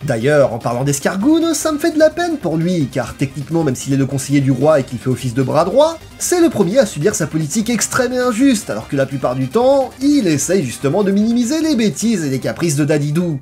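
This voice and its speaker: Lively, animated narrator of a video essay. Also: medium pitch, fairly quick, few pauses. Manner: expressive, emphatic, colloquial delivery. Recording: voice over background music.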